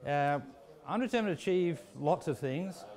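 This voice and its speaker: A middle-aged man with an Australian accent giving measured, unscripted interview answers with some hesitant phrasing. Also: a little high, expressive, a little mellow. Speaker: business school dean, interviewee. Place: walking through a university building. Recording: echoey indoor hallway.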